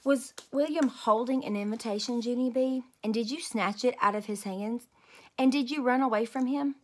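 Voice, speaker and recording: A woman's voice: a little high, moderate pace, voice pushed up, natural voice. A woman voicing a stern, no-nonsense female kindergarten teacher in a storybook, questioning and commanding. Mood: accusing.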